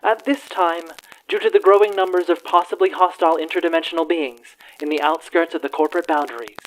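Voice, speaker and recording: A performer playing an earnest radio news anchor in a radio play, with formal, measured, theatrical announcer phrasing. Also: mid-range voice, lightly acted, poor audio. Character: earnest troll radio news anchor hosting an evening broadcast.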